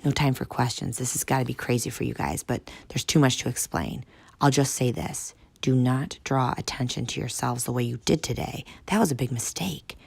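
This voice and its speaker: A woman's voice: vocal fry, breathy edge, no echo, heavily acted. Woman doing Tank, a whispering giant guard. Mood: hushed urgent. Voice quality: deep, throaty, mostly whispered. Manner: hushed and hurried. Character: huge bald male guard, secretive and urgent. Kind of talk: audiobook character voice.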